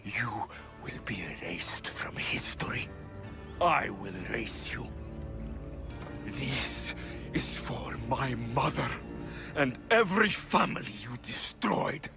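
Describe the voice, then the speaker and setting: An actor playing a vengeful, defiant young warrior hero in a video game, speaking with intense deliberation. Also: male voice, medium pitch, very animated, takes it slowly, creaky, music in the background, full character voice. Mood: cold fury. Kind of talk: video game cutscene acting.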